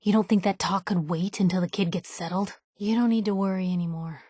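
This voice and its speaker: A woman's voice: medium pitch, steady tone, a little fast, dark tone, no echo, clean studio sound, lightly acted. An actress voicing a plucky, principled young woman reporter, a video game companion with sassy, earnest, slangy banter. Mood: indignant.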